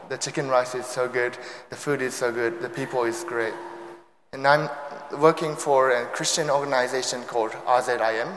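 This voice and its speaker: A man's voice: medium pitch, a bit bright, a little hiss or hum. Young Japanese-accented man in echoey hall. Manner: light self-deprecating humor. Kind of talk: sermon talk. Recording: echoey hall with long reverb.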